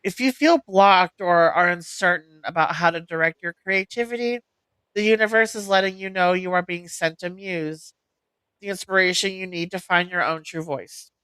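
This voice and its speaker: A woman reading oracle card meanings aloud as host, in a measured, deliberate way with an occasional stumble. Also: fairly low pitch, fairly bright tone, clean tone, dry recording, low-quality recording.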